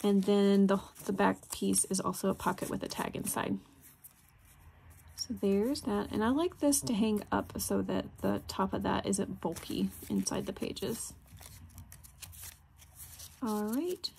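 Woman chatting casually over clear background noise. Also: mid-range voice, unhurried, long pauses, a little mellow. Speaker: crafter presenting handmade journals. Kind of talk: casual craft flip-through narration.

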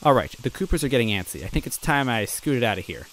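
A man voicing a spunky young female goomba guide, brisk and reassuring. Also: mid-range voice, very animated, natural voice, low-quality recording. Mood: urging.

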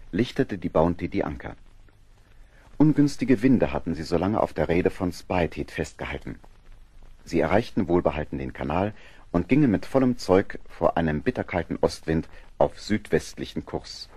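Male drama narrator, low-pitched and husky, measured storytelling. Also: mellow, no room sound. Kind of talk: scripted radio play narration.

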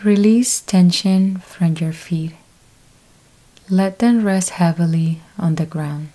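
Slow, mellow-voiced woman guiding meditation. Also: lowish voice. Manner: slow, calm step-by-step instructions with long pauses. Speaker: yoga nidra teacher. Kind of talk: guided meditation.

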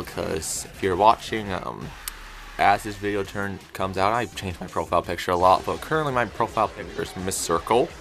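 A man with a low-pitched voice presents a homemade craft in a casual video. He talks informally and rambles, often halting mid-thought. Music plays behind him. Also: creaky, a bit bright. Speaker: craft video creator showing a homemade puppet. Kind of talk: casual showcase narration. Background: background music.

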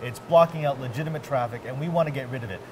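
A man's voice: medium pitch, rapid-fire. Casual geeky male host, noisy space. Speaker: host interviewing at a tech trade event. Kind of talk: conversational product interview. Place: large indoor warehouse staging space. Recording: clear background noise of a warehouse.

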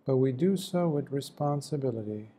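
A man guiding a meditation as a teacher, speaking gently in short phrases with long pauses between them. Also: mid-range voice, animated. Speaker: meditation teacher, Buddhist monk. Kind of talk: guided meditation, slow spoken instruction.

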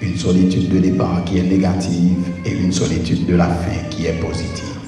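A man preaching a deliberate, measured wedding sermon from a pulpit, holding a handheld microphone in a large church. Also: low-pitched, wildly swooping pitch, warm tone, soft background music. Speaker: pastor. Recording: echoey church hall from far off.

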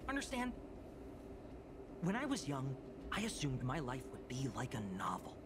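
A man's voice: mid-range voice, sing-song, normal speed, close to an everyday voice. A man voicing a jaded, whiny young office worker in a video game, complaining with exasperated drama. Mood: bitter, resigned. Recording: game audio with clear background noise.

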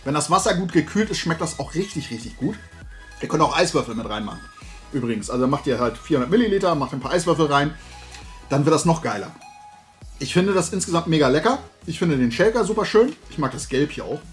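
A middle-aged man hosts a hobby vlog in a somewhat high voice. He rambles in loose, colloquial chat, as if talking to regular viewers. Faint music can be heard with his talk. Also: lively intonation, fast talker. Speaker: host of a toy and hobby video show. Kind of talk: casual chat, product tasting and recommendations. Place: room at home lined with shelves of toy figures.

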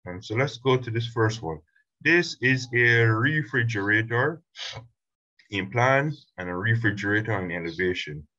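A man walks through a software tutorial step by step in a relaxed, unhurried way, with plenty of ums along the way. His voice sits on the low side. The recording sounds muffled and lo-fi. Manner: relaxed step-by-step explaining with fillers. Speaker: instructor.

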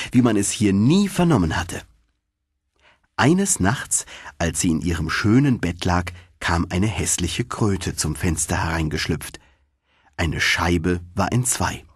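A man narrating a fairy tale as a scripted, expressive audiobook reading. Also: fairly low pitch, breathy edge, dry recording, studio quality.

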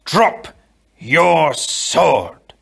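A man's voice: a little high, lively intonation, creaky, a bit bright, husky, voice pushed up, full character voice. A man acting out a defiant young hero, swooping and menacing. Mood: contemptuous. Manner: slow, emphatic, theatrical threats.

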